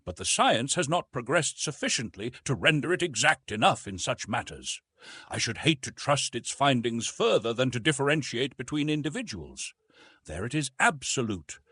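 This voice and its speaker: A man voicing a patient, authoritative police official in a storybook, explaining things in a measured, formal, precise way. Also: medium pitch, fairly bright tone, breathy edge, dry recording, studio quality.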